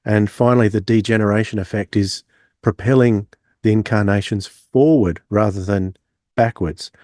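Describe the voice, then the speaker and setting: A man's voice: fairly low pitch, steady tone, normal speed, a little mellow, dry recording, studio quality. A man hosting a podcast, presenting and discussing a review. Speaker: podcast host.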